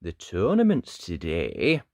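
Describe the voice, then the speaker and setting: Woman putting on a hearty father knight, cheery asking tone, lively pitch, dry close sound. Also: slow, dry recording, exaggerated voice.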